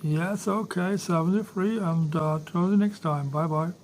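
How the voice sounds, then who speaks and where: Halting Dutch-accented man, higher-pitched voice. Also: a little mellow, a little hiss or hum. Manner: halting, hesitant, polite. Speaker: amateur radio operator. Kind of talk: casual ham radio contact.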